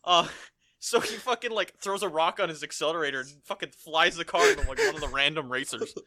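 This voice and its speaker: A man's voice: on the high side, big pitch swings, fast, bright, forceful tone. A man co-hosting a podcast, chatting casually about a movie in blunt, slangy talk full of swearing. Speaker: podcast co-host. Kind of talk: casual chat, movie recap.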